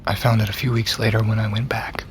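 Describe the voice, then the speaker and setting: A man's voice: fairly low pitch, flat delivery, quick pace, slight background noise, natural voice. A young man with an American accent playing a troubled, obsessive, sardonic character in a naturalistic audio drama. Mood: subdued, rueful.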